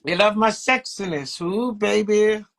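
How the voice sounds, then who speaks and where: A woman's voice: mid-range voice, measured pace. A woman with a Jamaican accent touched with British, chatting playfully and teasing on a live stream from home. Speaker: livestream host. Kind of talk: flirty casual livestream chat.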